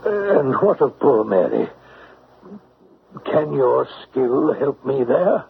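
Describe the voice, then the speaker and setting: A man playing an anxious, grateful older gentleman in a classic radio drama, halting and overwrought. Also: medium pitch, sing-song, gravelly, full character voice. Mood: overcome, grateful. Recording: tinny, slightly noisy old radio recording.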